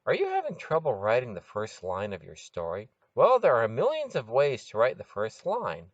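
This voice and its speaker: A man hosting a podcast, reading scripted narration in an expressive, presentational way. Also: mid-range voice, moderate pace, dry recording. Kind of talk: scripted narration of short fiction and tips. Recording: dull, dry lo-fi sound.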